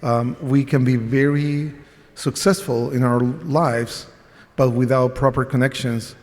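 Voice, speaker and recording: A man's voice: mid-range voice, steady tone, measured pace, a little mellow. A man from Chile speaking as a panelist at a conference, reflective and earnest, often restarting his sentences. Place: conference hall. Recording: long reverb of a conference hall.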